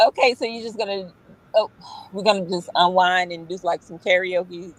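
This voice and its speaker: A woman's voice: on the low side, fairly even intonation, moderate pace, a little hiss or hum, low-quality recording. A woman in a casual chat on a live stream who is playful, teasing and laughs often. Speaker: guest on a stream.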